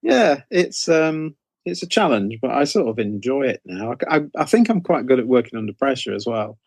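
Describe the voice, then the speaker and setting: A man's voice: medium pitch, very animated, normal speed, darkish tone, husky, no room sound. Middle-aged British man, very dry close sound. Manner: rambling, self-interrupting storytelling. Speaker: interview guest, author. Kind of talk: conversational interview, anecdotal.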